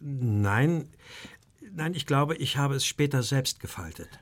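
A man's voice: mid-range voice, animated, slow. A man acting an anxious, genteel Victorian art dealer in a radio crime drama, formally recounting his troubles in detail. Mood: uneasy. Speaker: actor in a detective radio play.

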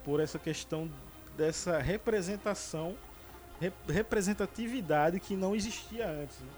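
A man's voice: sits high, normal speed, darkish tone, faint music behind. A man hosting a casual podcast about films, talking with many fillers and restarts. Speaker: podcast host. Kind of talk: casual movie chat.

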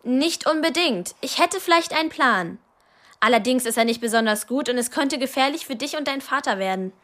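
An actress playing an earnest, loyal friend of the heroine in a radio drama, explaining things seriously. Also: female voice, sits high, lively intonation, fairly quick, a bit bright. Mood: determined. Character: earnest, loyal female ally of the young heroine.

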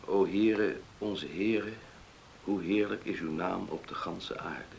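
An actor playing an earnest, blunt grown farm son in a Dutch radio play. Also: male voice, low voice, takes it slowly, vocal fry, exaggerated voice. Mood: solemn reading. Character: plain-spoken, dutiful grown son on a farm. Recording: noisy old radio play recording.

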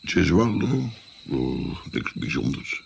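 An actor playing a wry old grandfather recounting his past in a radio drama, with a gravelly voice. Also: a bass voice, big pitch swings, slow, slight background noise, full character voice. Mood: wry, reminiscing.

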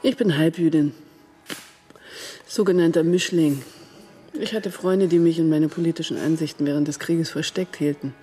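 Mellow, cool, worldly woman played by an actress in radio drama. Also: sits low, steady tone, moderate pace, long pauses, soft background music, close to an everyday voice. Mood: cool, probing. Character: worldly, shrewd German woman, postwar underground agent. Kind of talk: radio crime drama acting.

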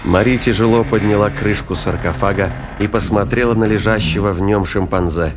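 A man's voice: fairly low pitch, fairly even intonation, a little fast. A man giving scripted, dramatic narration of a science fiction story as its narrator. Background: music.

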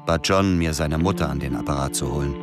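An actor playing a brooding, tormented man who narrates a radio drama in a sombre, measured, inward way. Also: rumbling low, loud music behind the voice. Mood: weary, factual. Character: brooding adult male narrator, tormented former agent.

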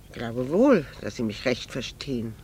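An actress in a German radio drama playing a proud, candid, melancholy married woman, speaking in a measured, formal and earnest way. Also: a bass voice, sing-song, warm tone, a little hiss or hum. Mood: quietly resolute.

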